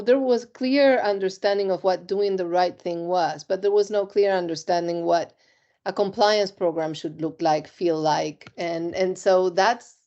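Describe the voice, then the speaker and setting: A middle-aged woman from Argentina, interviewed about her career, speaks in a measured, reflective way with frequent ums. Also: medium pitch, a little mellow, dull audio. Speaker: compliance executive, interview guest.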